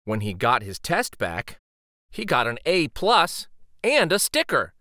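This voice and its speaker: A man reading a children's story aloud dramatically, with theatrical, suspenseful pauses. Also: a little high, wildly swooping pitch, bright, forceful tone, dry recording, studio quality.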